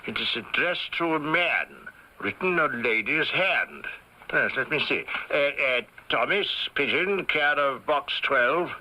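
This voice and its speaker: A confident Victorian detective inspector in an old radio drama. His voice is sharp and very bright, swinging freely in pitch as he gives brisk, deliberate orders with little hesitations. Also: lively intonation, full character voice, rough recording. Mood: satisfied, brisk. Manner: commanding, deliberate, with small hesitations.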